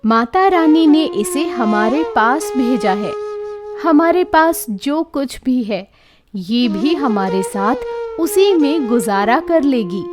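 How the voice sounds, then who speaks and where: Storybook casting: accepting, devout wife voiced by a woman storyteller, high pitch, lively inflection. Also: takes it slowly, over music. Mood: tender. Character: warm-hearted, devout village wife and mother.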